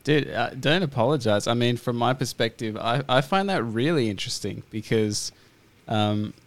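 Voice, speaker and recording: An Australian man hosting a podcast, talking in a relaxed, thoughtful way with plenty of fillers. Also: medium pitch, normal speed, dry recording. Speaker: podcast host. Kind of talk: casual interview chat.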